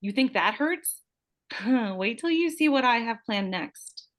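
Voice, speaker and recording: A woman's voice: a little high, expressive, takes it slowly, fairly bright tone. Woman acting a gloating, vengeful beauty-queen killer. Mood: furious. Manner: taunting, profane, theatrical outbursts. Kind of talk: live screenplay table read.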